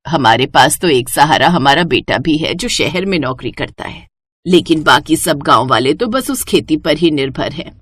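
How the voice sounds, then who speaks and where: Old village mother-in-law , played by a female narrator: low, weary, sighing, worried, for a cartoon tale. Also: expressive, normal speed, a bit bright, husky, no echo, full character voice. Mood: weary, sad. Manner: sighing, lamenting.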